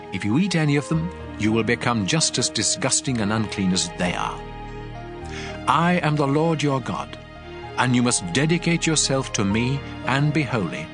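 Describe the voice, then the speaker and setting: A man playing a solemn, commanding God, reading scripture laws in a measured, emphatic way over music. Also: on the low side. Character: God speaking law, solemn, commanding male deity.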